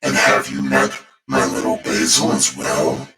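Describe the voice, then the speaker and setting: A man voicing a sweetly sinister little old landlady in a spooky bedtime story, with gentle, genteel, drawn-out phrasing. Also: a bass voice, flat delivery. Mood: proud, sweet. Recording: echoey, distant voice over music.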